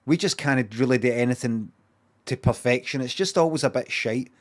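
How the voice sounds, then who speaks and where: A man's voice: medium pitch, moderate pace. A Glaswegian Scottish man chatting casually on a livestream, rambling, swearing freely and repeating himself for emphasis.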